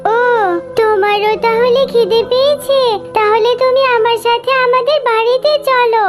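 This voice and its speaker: A tiny, extremely high-voiced cartoon fox girl, innocent and eager. She chatters and pleads like a small child, with music clearly playing behind her. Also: fairly even intonation, moderate pace. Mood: sweet, eager. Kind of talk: Bengali children's cartoon voice acting.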